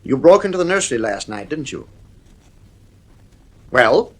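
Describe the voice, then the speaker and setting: A strict, worn-down father in a radio drama. His mid-pitched voice moves widely and emphatically, irritable and stern. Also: very animated, a little slow. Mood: firm, strained. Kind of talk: radio play acting.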